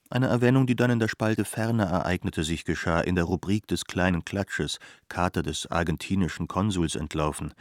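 A man narrating a literary radio play, articulate and measured through long flowing sentences. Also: sits low, no room sound, clean studio sound.

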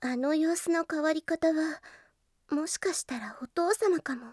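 Audio drama role: a devoted, demure young anime heroine, very high voice, hesitant and extremely polite. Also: female voice, a little slow, darkish tone, dry recording, clean studio sound. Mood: apologetic, wistful. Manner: very polite, hesitant, apologetic speech.

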